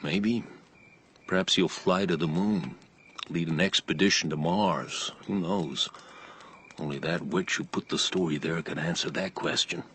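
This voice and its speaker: A man's voice: low-pitched, measured pace, fairly bright tone, a little hiss or hum. An actor playing a haunted, brooding older male wanderer, storytelling in a radio drama.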